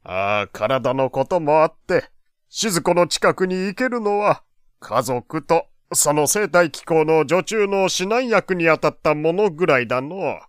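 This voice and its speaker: An actor playing a genial, slightly pompous old gentleman with old-fashioned, avuncular speech in an audio drama. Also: on the high side, lively intonation, measured pace, smooth, no echo, studio quality. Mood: thoughtful. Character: genial old gentleman, pompous but kindly family man. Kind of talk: Japanese audio drama acting.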